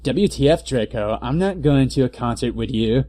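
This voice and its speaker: A man's voice: mid-range voice, lively intonation, normal speed, warm tone, natural voice. Man playing a melodramatic, moody gothic teenage girl. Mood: angry. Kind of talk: comedic dramatic reading of fan fiction.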